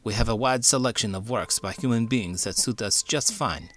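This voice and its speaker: A man's voice: mid-range voice, normal speed, a bit bright, close to an everyday voice. A man voicing a pompous, dismissive art gallery owner in a children's story, with a strange put-on foreign accent. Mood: haughty dismissal. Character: snooty male art gallery owner with oily mustache.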